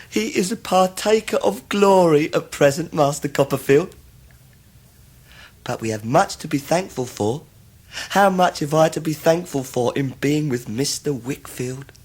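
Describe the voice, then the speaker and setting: An actor playing an obsequious, scheming young law clerk in a radio drama, fawning and full of false humility. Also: male voice, fairly high pitch, expressive, slow, pauses often, darkish tone, breathy edge, exaggerated voice. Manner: fawning, self-abasing, oily.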